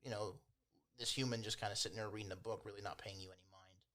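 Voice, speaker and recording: A man acting as game master, improvising tabletop game narration in an informal, hedging, unhurried way. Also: lowish voice, steady tone, fairly bright tone.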